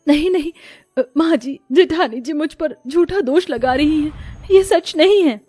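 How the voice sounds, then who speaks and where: A woman voicing a gentle, poor young daughter-in-law in a cartoon, sweet and earnest. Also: high-pitched, a little slow, no room sound, studio quality, faint music behind, pitched above the performer's own voice. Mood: pleading.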